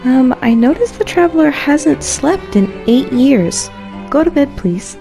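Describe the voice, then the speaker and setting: A woman's voice: sits high, lively intonation, unhurried, gravelly. A woman doing casual, joking gameplay commentary in a wry, playfully dramatic way. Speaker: game streamer. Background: soft game music.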